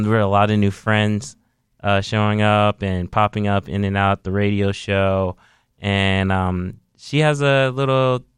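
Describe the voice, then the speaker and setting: Low, smooth man hosting a radio show. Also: dry recording, clean studio sound. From Haitian descent. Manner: relaxed, rambling with many fillers. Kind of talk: casual radio chat.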